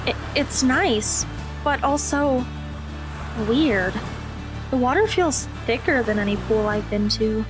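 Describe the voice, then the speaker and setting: A woman playing a hesitant, polite and agreeable high-school girl in an audio drama. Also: animated, slow, music in the background, poor audio. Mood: tentative.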